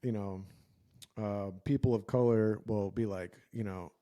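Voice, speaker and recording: A man's voice: lowish voice, steady tone, unhurried, warm tone. A young man co-hosting a podcast, chatting casually and joking, with lots of fillers and restarts. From United States. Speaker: podcast co-host. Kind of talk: casual chat.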